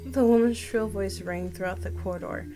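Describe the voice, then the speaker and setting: A woman's voice: medium pitch, normal speed, mellow. A woman in her thirties reading a visual novel aloud and adding casual commentary as a game streamer. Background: game music and Japanese game voices.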